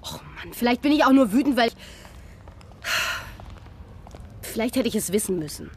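An actress playing a blunt, quick-tempered young stage actress who curses freely. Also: female voice, a little high, animated, darkish tone, a little hiss or hum. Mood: upset. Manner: blunt and cursing.

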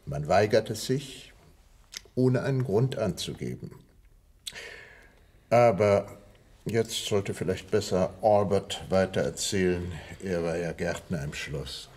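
Casting: grave country doctor, low husky voice, dramatic storyteller with swooping intonation. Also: male voice, animated, a little mellow, heavily acted. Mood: grave recounting. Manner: deliberate, dramatic pauses, measured storytelling. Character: grave village doctor confessing old secrets. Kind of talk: German radio crime play acting.

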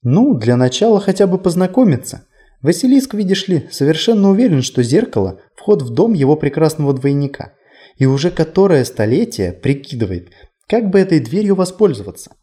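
A man's voice: fairly high pitch, very animated, a little fast, mellow, voice pushed up. A man voicing a whimsical, chatty male tour guide in an audiobook, conversational, playful and self-deprecating. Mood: amused, chatty. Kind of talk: audiobook character dialogue.

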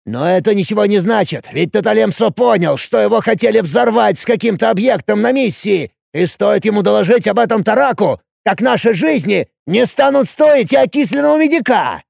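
A man voicing an enraged, panicking fantasy nobleman who shouts and snarls his curses in an audiobook. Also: sits high, expressive, brisk, creaky, hardly any pauses, dry recording, clean studio sound, pitched above the performer's own voice, heavily acted. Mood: bitter, alarmed.

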